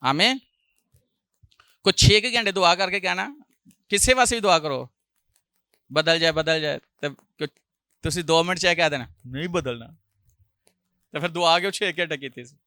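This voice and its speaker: A male pastor, preaching a sermon with a sore throat, often clearing it and rising loudly on hallelujah. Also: on the high side, unhurried, pauses often, no room sound. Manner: preaching with loud rises on hallelujah and jokey asides.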